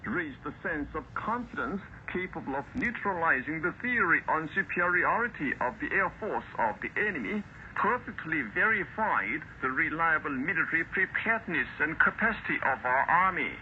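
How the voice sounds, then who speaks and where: A man reading a formal scripted news bulletin in a solemn, deliberate, declarative way as a radio announcer, heard over shortwave radio. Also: fairly high pitch, very animated, bright, forceful tone. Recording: muffled, noisy shortwave radio broadcast.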